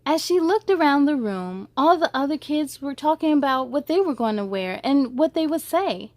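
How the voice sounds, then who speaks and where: A high-pitched woman reads a children's storybook aloud in a dry, close sound. She is expressive and drops in short asides as she goes. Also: normal speed, no echo.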